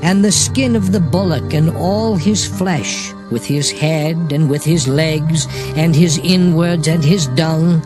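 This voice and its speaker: Male scripture narrator, higher-pitched voice, solemn and formal dramatized audiobook read, music underneath. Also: fairly even intonation. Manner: formal, measured reading of archaic scripture.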